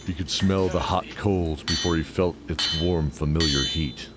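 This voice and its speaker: A man's voice: rumbling low, fairly even intonation, unhurried, very noisy. A man narrating a fantasy audio drama in a scripted, dramatic storytelling style.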